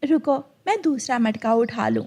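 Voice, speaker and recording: A gentle mother bird, played by a woman, with a high voice. She is soft and matter-of-fact, a dutiful cartoon parent talking plainly. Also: huge pitch swings, moderate pace. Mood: calm. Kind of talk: Hindi cartoon dialogue.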